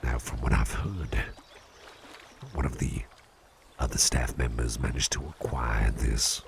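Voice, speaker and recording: A man playing a calm, caring, playful businessman boss in a slow, intimate ASMR roleplay, speaking in a soothing, gravelly, very deep murmur. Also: background noise, full character voice. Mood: confiding. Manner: soothing, unhurried, repeats short phrases.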